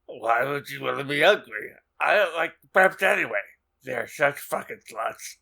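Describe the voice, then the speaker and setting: A man's voice: medium pitch, expressive, moderate pace, creaky, sharp tone, voice pushed up, full character voice. A man voicing an anguished, lovesick teenage goth boy with overwrought delivery in a comic audiobook. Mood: concerned, scornful. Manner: overwrought, emphatic.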